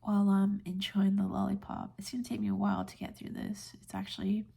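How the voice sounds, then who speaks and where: An American woman in her late twenties rambling in a soft-spoken, whispery, breathy ASMR style, gentle and unhurried. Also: medium pitch, level tone. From United States. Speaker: ASMR video creator.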